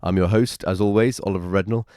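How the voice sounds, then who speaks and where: A man in his thirties with a raspy voice from a cold, chattily introducing his podcast. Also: fairly even intonation, fast talker, mellow, dry recording, studio quality. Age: 30s. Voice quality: raspy, very deep. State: hoarse from a cold. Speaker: podcast host.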